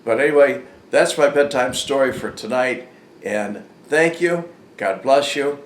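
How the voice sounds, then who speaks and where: An older man telling a casual, unscripted story in a halting, rambling, plain-spoken way. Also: medium pitch. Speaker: storyteller, former informant hosting his own channel. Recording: echoey room with faint background noise.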